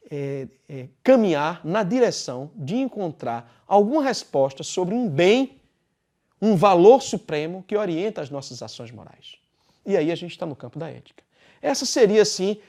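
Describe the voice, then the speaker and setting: A man with a Brazilian Portuguese accent teaching a philosophy class, speaking deliberately and posing questions he then answers himself. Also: sits high, a little mellow. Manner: deliberate, poses rhetorical questions and answers them. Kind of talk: recorded class lecture.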